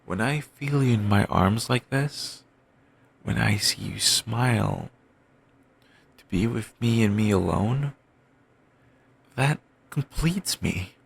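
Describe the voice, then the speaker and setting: A man voicing an obsessive, doting young boyfriend, rambling softly in a bedtime comfort roleplay. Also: fairly low pitch, slow, creaky, long pauses, slightly rough, exaggerated voice. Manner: soft, rambling, affectionate murmuring.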